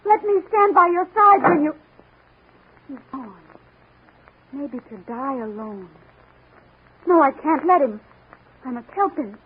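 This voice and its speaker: A woman's voice: sits high, expressive, slow pace, pauses often. An actress playing a plucky, anguished young woman in fervent 1940s radio melodrama, on a tinny old recording. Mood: anguished, determined. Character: plucky, anguished young woman, lovestruck police commissioner's daughter.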